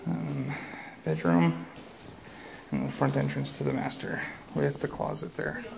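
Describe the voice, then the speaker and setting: Man, gravelly, matter-of-fact house walkthrough, phone mic in an empty house. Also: medium pitch, very animated, unhurried, background noise. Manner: matter-of-fact, pointing out rooms as he walks. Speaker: house tour guide. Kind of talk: casual walkthrough narration. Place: large unfinished house, indoors. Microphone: handheld phone camera.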